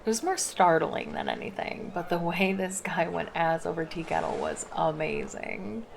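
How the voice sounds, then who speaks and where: A woman's voice: on the low side, moderate pace, vocal fry, background noise. A woman voicing a laid-back, flirty female lifeguard in an ASMR roleplay, chatting casually and teasing. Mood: easygoing.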